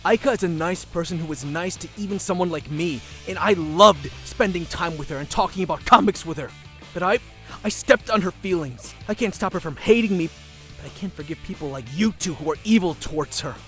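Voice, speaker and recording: A man's voice: high-pitched, fairly quick, a bit bright, soft background music. A man playing an earnest, shy nerdy schoolboy. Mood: upset, earnest. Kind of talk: manga dub narration and dialogue.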